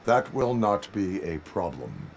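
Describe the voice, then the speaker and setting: An actor playing a stern, unflappable cyborg police officer in a video game, speaking in formal, deadpan, clipped commands. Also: male voice, on the low side, a little slow, creaky, very noisy, heavily acted.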